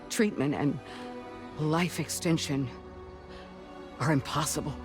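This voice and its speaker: An actor playing an earnest, weary doctor in a cinematic video game, speaking solemnly and deliberately. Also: male voice, fairly high pitch, over music. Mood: regretful. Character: earnest, weary male doctor sheltering mothers.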